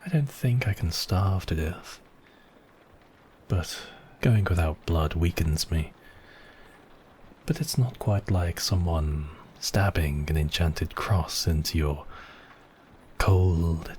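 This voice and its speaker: Casting: male actor for a possessive vampire count, very low-voiced and teasing, ASMR roleplay, faint ambience behind. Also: a little mellow, a little hiss or hum. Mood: seductive, musing. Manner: silky, teasing, drawn-out phrasing with sighs and pauses. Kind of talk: intimate ASMR roleplay acting.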